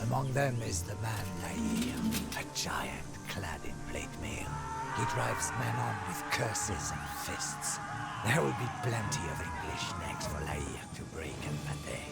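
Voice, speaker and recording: An actor playing a weary, devoted soldier who chronicles his campaign in solemn, measured, dramatic narration for a game cutscene, often dropping to a breathy hush. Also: on the low side. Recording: loud music under the voice. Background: loud music.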